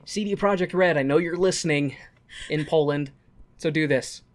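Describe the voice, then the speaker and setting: A man's voice: fairly high pitch, moderate pace. A man co-hosting a podcast in casual comedic chat, with a jokey, self-deprecating manner that wanders into tangents. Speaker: podcast co-host.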